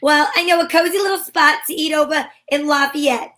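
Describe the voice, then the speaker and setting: A woman's voice: high-pitched, fairly even intonation, a little fast, bright, forceful tone. A woman playing a breezy female private investigator in an amateur reading of an old-time radio play.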